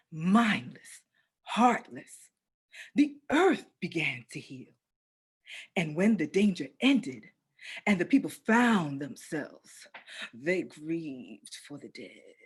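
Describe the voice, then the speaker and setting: Very husky, lowish female voice by an actress, serene spiritual sister, deliberate poetic preaching, soothing. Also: darkish tone, exaggerated voice. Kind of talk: poetic stage recitation.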